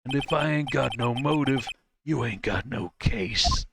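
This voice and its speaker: Man doing a gravelly New mobster loan shark. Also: medium pitch, animated, a little slow, noisy background. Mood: menacing. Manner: slangy tough-guy talk. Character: thuggish male mobster loan shark, brash and crude. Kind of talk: fan dub of a video game. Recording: music playing behind the voice.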